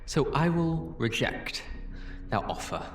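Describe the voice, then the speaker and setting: A man playing a guilt-ridden, defiant time-travelling doctor in an audio drama, earnest and halting in delivery. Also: a little high, big pitch swings, fairly bright tone, echoey room, faint music behind, exaggerated voice. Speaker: lead actor in fan audio drama. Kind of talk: dramatic scripted fan audio acting.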